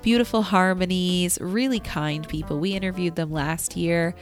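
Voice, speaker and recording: Casting: woman radio co-host, slightly low pitch, upbeat and casual, music playing behind her. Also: fairly even intonation, moderate pace. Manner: upbeat, enthusiastic. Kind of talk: casual event shout-outs on local radio.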